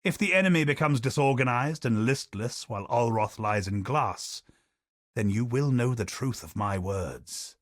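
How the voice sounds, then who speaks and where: A man voicing a calm, cunning male warrior in a video game, in a measured, level voice. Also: mid-range voice, no room sound, studio quality, close to an everyday voice.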